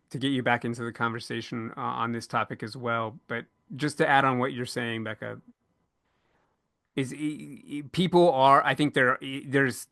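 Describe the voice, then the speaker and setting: A man co-hosting a casual panel discussion, thinking aloud in a halting way and often repeating words as he goes. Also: mid-range voice, sharp tone, no room sound, clean studio sound. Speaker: podcast co-host moderating a panel.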